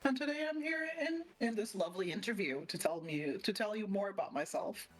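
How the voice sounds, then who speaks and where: A woman's voice: medium pitch, fairly quick. Playful woman. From Portugal. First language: Portuguese. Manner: laughs often, playful, relaxed. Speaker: guest streamer. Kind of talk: casual interview chat.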